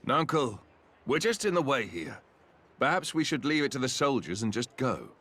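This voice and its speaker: A man's voice: medium pitch, sing-song, normal speed, fairly bright tone, breathy edge. A man playing a courteous, dutiful young prince in a video game, with a polite, formal and earnest manner. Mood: courteous, calm. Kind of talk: video game cutscene acting.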